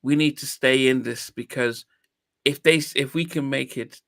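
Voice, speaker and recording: A man's voice: medium pitch, moderate pace, vocal fry, a bit bright, no room sound, studio quality. A man in his fifties, from Nigeria, hosting a podcast in a reflective, hesitant way with many restarts. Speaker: podcast host. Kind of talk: casual interview chat.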